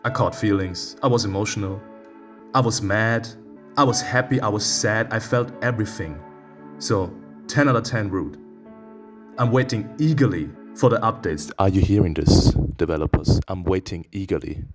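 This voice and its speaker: A man playing through a visual novel as a streamer, excitable and theatrical, reacting with real emotional investment. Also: on the low side, moderate pace, soft background music. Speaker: let's play streamer. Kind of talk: visual novel playthrough, reading aloud and reacting.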